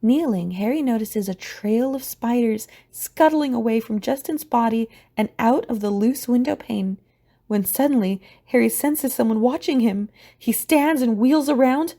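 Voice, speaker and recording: A woman reading scripted story narration for an audio drama in a mellow, husky voice. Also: fairly high pitch, moderate pace.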